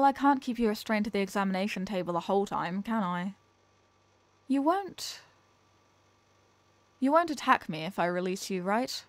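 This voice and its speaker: A curious female alien scientist, played by a woman in an ASMR roleplay, recorded close and dry. She keeps to formal, clinical phrasing, yet it slips into hesitant, flustered asides that betray a lonely, smitten streak. Her voice sits at an ordinary middle pitch for a woman. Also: dry recording, lightly acted. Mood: shy, nervous.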